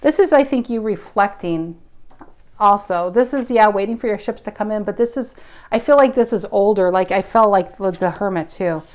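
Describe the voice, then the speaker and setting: A woman's voice: mid-range voice, normal speed. A woman in her mid-forties giving a casual tarot reading, thinking aloud in a musing, intuitive way with frequent restarts. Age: 40s. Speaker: tarot reader.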